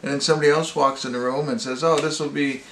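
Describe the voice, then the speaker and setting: A man's voice: on the high side, fairly even intonation, fast, fairly bright tone. A man giving a casual, off-the-cuff review as host, rambling and hesitant as he searches for words. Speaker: amateur movie reviewer and host. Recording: roomy echo, faint background noise.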